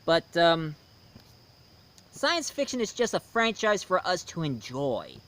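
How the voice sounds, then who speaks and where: A man's voice: on the high side, wildly swooping pitch, slow, a bit bright, a little hiss or hum. A man giving a casual, rambling film review, speaking emphatically and opinionatedly straight to his viewers. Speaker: reviewer, host.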